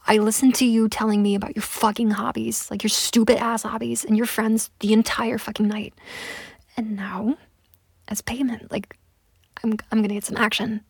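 A woman's voice: a little high, dry recording, exaggerated voice. A young woman voicing a pushy, entitled woman on a date in a one-sided audio roleplay, talking in a close, whispery way with lots of swearing. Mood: angry. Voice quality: husky, breathy, gravelly. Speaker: voice actor.

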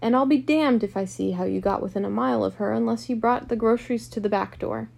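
A creaky-voiced, possessive and brutish rich husband in a storybook drama , read by a woman. He is arrogant and quick to anger, blustering out accusations. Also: fairly high pitch, mellow. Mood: explosive anger. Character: arrogant, hot-tempered wealthy married man, brutish and possessive.

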